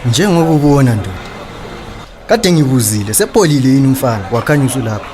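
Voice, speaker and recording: An actor playing a streetwise, sarcastic creditor in a radio drama, confrontational and taunting, with a Zimbabwean accent. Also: male voice, medium pitch, animated, fast, darkish tone, close to an everyday voice. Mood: probing, sly. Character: streetwise, sarcastic male creditor demanding his money.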